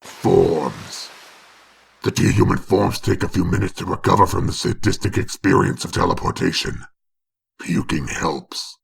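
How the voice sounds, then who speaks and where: Sardonic demon narrator, extremely croaky and hoarse-edged, dramatic comic storytelling. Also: male voice, sits low, unhurried, heavily acted. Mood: sardonic, sinister. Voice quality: gravelly, raspy. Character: sinister demonic male narrator, mocking and knowing.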